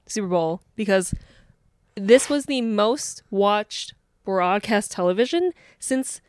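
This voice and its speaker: A woman's voice: mid-range voice, lively intonation, slow, smooth, no echo, clean studio sound. A woman co-hosting a podcast in casual chat, chatty, rambling and playful. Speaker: podcast co-host.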